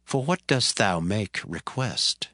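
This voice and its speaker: Grave, slow, deep-voiced king. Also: expressive, sharp tone, dry recording, clean studio sound, full character voice. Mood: solemn, questioning. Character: grave, regal Persian king questioning his servant. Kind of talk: dramatized Bible acting.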